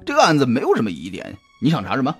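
A man playing a jovial, chatty private detective in an audio drama, talking colloquially with drawn-out hesitations in a husky voice. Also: medium pitch, huge pitch swings, exaggerated voice. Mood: casual, explaining. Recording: music behind the voice.

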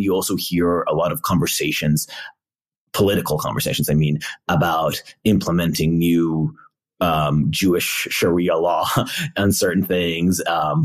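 A man with a raspy, underslept voice, chatting casually and wryly as a podcast co-host, wandering into digressions. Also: low-pitched. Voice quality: gravelly, raspy. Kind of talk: casual reflective podcast chat.

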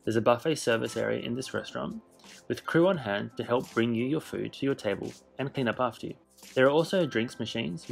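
A man narrating a scripted cruise ship tour in a measured, informative way. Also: medium pitch, vocal fry. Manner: measured, informative, list-style delivery.

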